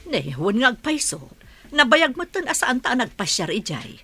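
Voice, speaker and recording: A woman's voice: a little high, very animated, normal speed, sharp tone, breathy edge, exaggerated voice. A woman playing a sympathetic, talkative middle-aged village aunt in a radio drama. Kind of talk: Ilocano radio drama acting.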